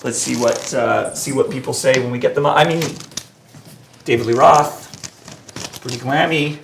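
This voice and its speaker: A man hosting a live-stream debate show, reading out viewer comments in an energetic, joking way, his voice a little hoarse. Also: mid-range voice, moderate pace, a little hiss or hum. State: voice going a bit hoarse. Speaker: host.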